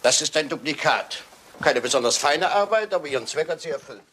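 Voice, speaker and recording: A male actor playing a shrewd, courteous Belgian detective in a German radio crime drama, with measured, probing questions. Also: fairly high pitch, animated, lightly acted. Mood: calm, probing. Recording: clearly noisy old radio play recording.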